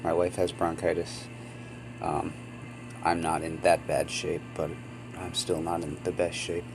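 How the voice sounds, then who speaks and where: Low-voiced man with a sniffly cold. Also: little pitch movement, a little slow, vocal fry, noisy background. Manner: rambling, apologetic, with frequent ums. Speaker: gaming channel host. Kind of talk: casual gameplay commentary.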